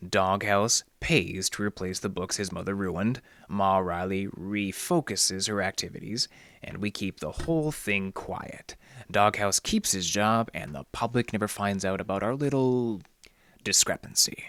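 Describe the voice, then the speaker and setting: A man's voice: fairly low pitch, unhurried, a bit bright, no echo. A man playing a wry, laconic, folksy hard-boiled detective in a noir radio drama. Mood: wry coaxing. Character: wry hard-boiled male library detective, easygoing fixer.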